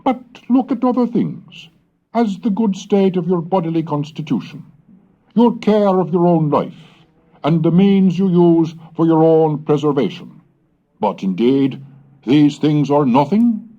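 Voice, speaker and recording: A man's voice: on the high side, expressive, a little slow, warm tone. A man reading aloud as a fiery colonial preacher, solemn and emphatic, on a dull old cassette recording. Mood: solemn, warning. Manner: solemn, rising, emphatic delivery.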